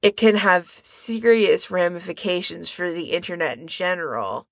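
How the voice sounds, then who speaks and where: Female podcast co-host, slightly low voice, close dry sound, informal explanatory chat. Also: normal speed, creaky, dry recording.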